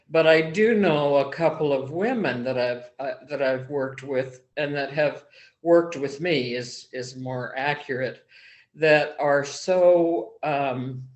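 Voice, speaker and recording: A man in a casual book discussion group, talking slowly and haltingly with many pauses and fillers. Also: mid-range voice. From small-town background.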